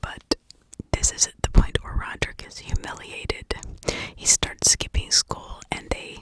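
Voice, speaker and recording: A woman whispering in a breathy, unvoiced ASMR style, telling a story informally with conversational asides. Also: a little slow, fairly bright tone. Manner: conversational, with rhetorical asides and check-ins. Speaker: ASMR true crime storyteller.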